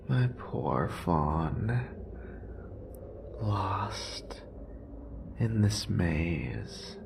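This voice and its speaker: A man playing an obsessive, murderous, possessive lover in a horror roleplay audio drama, with a breathy, gravelly voice and lingering repetitions. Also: lowish voice, background noise, full character voice. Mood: tender, eerie. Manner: breathy whispering, drawn-out sighs, lingering repetitions.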